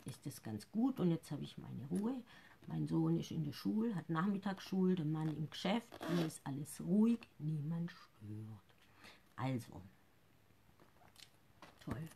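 A woman's voice: on the low side, slight background noise. A woman in her fifties hosting a casual live makeup tutorial from her living room, chatty and a bit nervous, often trailing off into mumbles, heard through a webcam at desk distance. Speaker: makeup seller and live-stream host.

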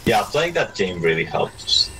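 A man with a low voice chats casually as he follows along in a co-op game. He speaks hesitantly with small stammers, and clear noise sits behind him. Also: big pitch swings, a little slow, bright, forceful tone, rough recording. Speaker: gamer following in co-op. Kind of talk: casual gameplay chat.

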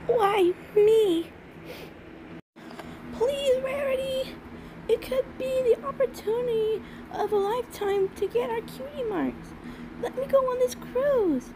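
A woman's voice: extremely high pitch, slow, background noise. A woman voicing a sweet little cartoon unicorn filly, whimpering and pleading, in a fan comic dub. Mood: tearful pleading.

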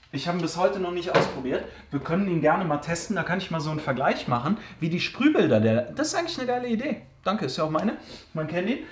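A man's voice: on the high side, a little hiss or hum. Chatty man with a roomy basement echo. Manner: chatty, hesitant fillers, joking asides. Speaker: car care channel host. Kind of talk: casual Q&A answering viewer questions. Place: basement storage room.

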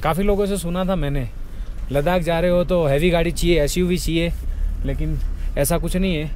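A man's voice: a little high, steady tone, a little fast, darkish tone, slight background noise. A man in his thirties vlogging a mountain road trip from inside a car, casually pointing out the sights to his viewers. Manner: chatty, pointing things out to viewers. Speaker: travel vlogger.

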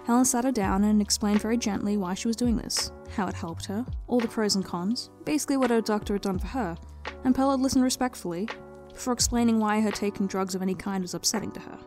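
Fast-paced woman reading a story. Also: mid-range voice, darkish tone. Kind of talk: dramatic reading, scripted story narration. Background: background music.

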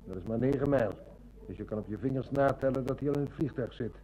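An actor playing a shrewd, determined police inspector in a radio drama, matter-of-fact and commanding. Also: male voice, medium pitch, fast, mellow, natural voice. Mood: brooding. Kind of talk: Dutch radio drama acting. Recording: noisy old radio recording with hum.

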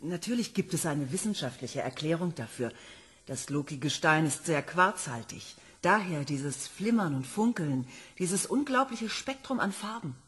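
A woman's voice: fairly low pitch, fairly bright tone, breathy edge, heavily acted. An actress playing an enthusiastic woman planetology professor reporting to a commission. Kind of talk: radio play acting.

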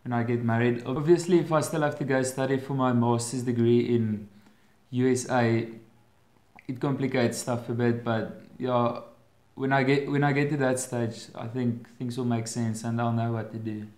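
A man's voice: mid-range voice, little pitch movement, normal speed, darkish tone. Young man, Afrikaans accent, casual webcam vlog, hesitant with many restarts, echoey bedroom. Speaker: vlogger. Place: bedroom at home, indoors. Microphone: webcam at desk.

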